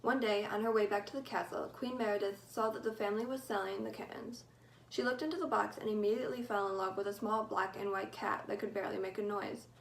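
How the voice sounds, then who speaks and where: A teenage girl giving a mock-serious school presentation, telling a made-up story in a deadpan, mock-formal way. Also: medium pitch, fast talker, gravelly, a little hiss or hum, rough recording. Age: teens. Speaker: student presenter.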